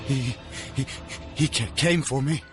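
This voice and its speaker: A man's voice: mid-range voice, a little slow, over music, lightly acted. An actor playing a terrified young man whose mind has snapped, stammering in a radio drama. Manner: stammering, panicked.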